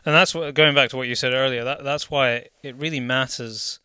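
A man interviewing a guest in a relaxed, casual conversation, with easy laughs and joking asides. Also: medium pitch, fairly quick, clear, resonant tone, no echo, studio quality.

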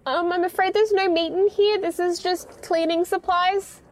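A woman's voice: extremely high pitch, steady tone, normal speed. A woman playing a nervous supermarket night-shift worker in an audio drama, rambling hesitantly and apologetically. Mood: polite, nervous. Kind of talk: naturalistic audio drama monologue.

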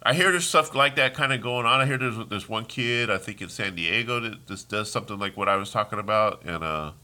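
A man's voice: on the low side, fairly quick, pushed and bright. A congested man hosting a solo podcast, talking in a heated, rambling rant with many restarts. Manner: heated, ranting, trails off and restarts. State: congested, sniffling. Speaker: podcast host. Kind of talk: casual solo podcast monologue.

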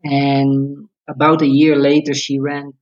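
A man's voice: mid-range voice, completely flat delivery, slow pace, dull audio. A man in his forties from the Netherlands with a Dutch accent, a coach chatting casually as an interview guest. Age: 40s.

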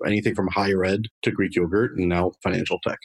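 A man in his thirties, from France, with a low and slightly husky voice. He answers interview questions in a matter-of-fact way and runs quickly through lists. The sound is dry and close-miked. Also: little pitch movement, no room sound. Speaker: marketing director, guest. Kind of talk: conversational interview.

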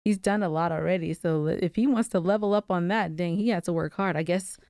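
A young adult woman co-hosting a podcast, talking candidly and reflectively in casual chat, quick to break into playful laughter. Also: fairly low pitch, darkish tone, no room sound, clean studio sound. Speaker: podcast co-host.

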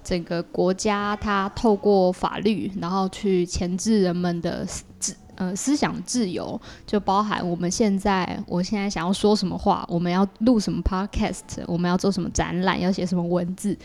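A woman's voice: lowish voice, fairly even intonation, moderate pace, few pauses, a little mellow. A woman with a Taiwanese Mandarin accent, explaining things conversationally as an interview guest in long, run-on sentences. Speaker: podcast guest, audio drama creator.

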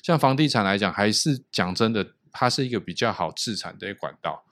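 A low-voiced man with a Taiwanese Mandarin accent hosts a podcast. He talks at length in a casual, explanatory way, laying out his points as he goes. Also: animated, a little fast, fairly bright tone. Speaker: podcast host.

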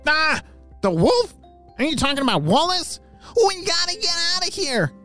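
A storyteller voicing a friendly, excitable male otter guide in a children's story. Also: sits high, moderate pace, faint music behind, pitched above the performer's own voice. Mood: urgent, helpful.

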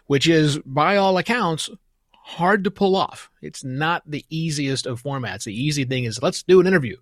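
A man's voice: fairly high pitch, normal speed, dry recording, studio quality. A man hosting a podcast, speaking in a conversational interview style.

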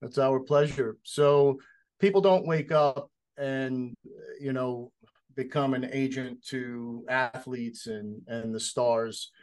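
A man's voice: medium pitch, fairly even intonation, slow pace, a little mellow. A man hosting a podcast interview in an easygoing, folksy way. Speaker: podcast host.

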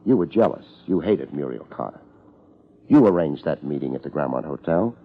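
A man acting a laid-back, dryly wry private detective in deadpan 1950s radio drama style. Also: extremely low pitch, normal speed, slightly rough, full character voice. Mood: quiet, probing. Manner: deadpan, understated. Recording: muffled 1950s radio recording.

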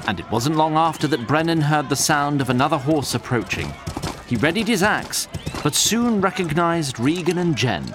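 A man narrating a scripted fantasy tale in a theatrical, deliberate and wry manner. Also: on the high side, expressive, noisy background.

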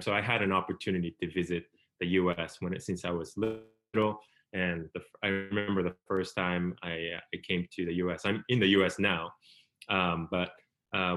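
A Japanese man in his thirties speaks English as a second language, in a voice pitched very low. He tells his story as an interview guest in a relaxed, hesitant way, full of fillers and restarts. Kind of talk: conversational storytelling.

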